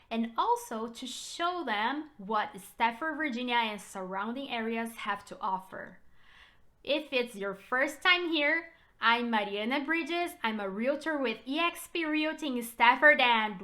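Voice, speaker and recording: A woman with a Brazilian Portuguese accent speaks straight to camera in her vlog, taking her time over each thought. Her voice is bright and clear, with an easy, reflective pace. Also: fairly high pitch. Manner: unhurried, reflective storytelling. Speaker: realtor and channel host. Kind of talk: personal vlog talk to camera.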